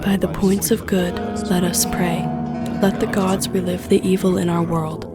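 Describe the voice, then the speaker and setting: An actress as the devil's own daughter, a proud and cold demoness in an audio drama. Her voice sits low and her diction is formal and solemn, with music playing clearly behind her. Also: level tone, moderate pace, close to an everyday voice. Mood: bitter chanting.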